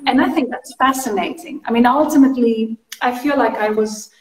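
A woman in her thirties whose first language is Greek, speaking English as a thoughtful, expressive interview guest on a video call. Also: fairly high pitch, brisk, recorded from a distance. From Greece. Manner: thoughtful, expressive, steady flow. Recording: roomy video call audio from off-mic.

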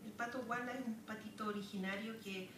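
A Chilean woman poet from the south of Chile gives a poetry reading at a podium in a lecture room, reciting slowly with pauses between lines. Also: a little high, steady tone. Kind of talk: poetry reading with spoken asides. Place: wood-paneled lecture room, standing at a podium. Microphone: camera far across the room. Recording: roomy, distant, noisy room recording.

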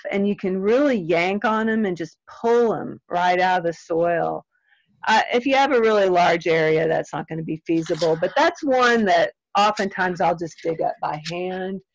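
A middle-aged woman, answering audience questions in a friendly, chatty, self-deprecating way. She is losing her voice and clears her throat now and then. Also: medium pitch, no echo. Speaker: extension weed scientist answering audience questions. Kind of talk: casual Q&A at end of webinar. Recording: dry, slightly dull webinar audio.

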